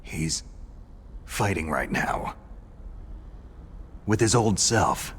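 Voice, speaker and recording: An actor voicing a gruff, world-weary special-ops soldier hero in a video game, terse and deadpan with a gravelly voice. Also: male voice, low-pitched, extremely slow, a little hiss or hum, full character voice. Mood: solemn, quiet. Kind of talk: dramatic video game voice acting. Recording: music behind the voice.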